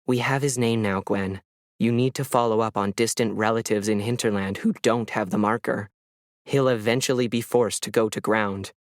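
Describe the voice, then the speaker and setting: A man's voice: on the low side, no echo, studio quality, close to an everyday voice. A man voicing a firm, businesslike senior officer giving instructions in an audio story.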